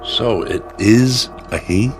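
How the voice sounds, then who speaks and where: A man's voice: fairly low pitch, slow pace, faint music behind. A man playing a folksy, inquisitive diner proprietor in a radio drama. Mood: nosy, easygoing. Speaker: actor. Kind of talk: old-time radio drama acting.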